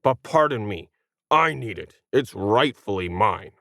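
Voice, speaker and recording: A smug tentacled alien played by a man from a science fiction story, whiny and full of sarcasm. The pitch swings freely as it looks down on humans, in a dry, close recording. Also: animated, measured pace, vocal fry, fairly bright tone, no room sound, full character voice. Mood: pleading, insistent. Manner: condescending, sarcastic.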